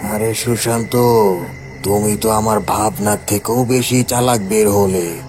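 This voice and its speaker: A man's voice: lowish voice, steady tone, measured pace, a little mellow, soft background music, close to an everyday voice. A man playing a smug, scheming village villain in a horror cartoon, mocking and menacing.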